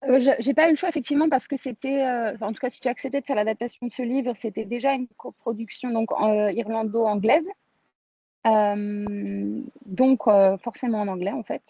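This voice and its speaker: A woman from France answers as a film director in a relaxed, mellow voice. She reflects informally, rephrasing as she goes. The sound is dry and somewhat muffled. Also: a little high, moderate pace, dry recording. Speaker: film director being interviewed.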